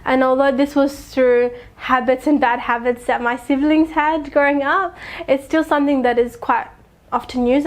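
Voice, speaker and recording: A young woman from Afghanistan, speaking earnestly and thoughtfully in an interview, with soft laughs and frequent pauses to think. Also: high-pitched. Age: young adult. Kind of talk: reflective personal testimony.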